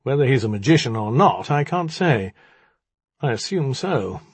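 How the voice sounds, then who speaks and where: A man voicing a proud old spirit in rat form for a storybook, sardonic, brisk and easily huffy. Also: mid-range voice, very animated, husky, dry recording, pitched above the performer's own voice. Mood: offhand.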